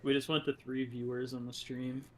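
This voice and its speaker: A man's voice: medium pitch, level tone, normal speed, fairly bright tone. A man chatting casually on a stream in a wry, joking way. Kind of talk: casual chat.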